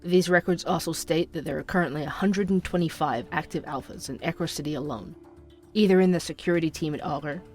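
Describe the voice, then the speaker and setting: An actor playing a young podcaster who narrates urban conspiracies in a measured, inquisitive way for an audio drama. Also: mid-range voice, soft background music, lightly acted. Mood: intrigued, serious.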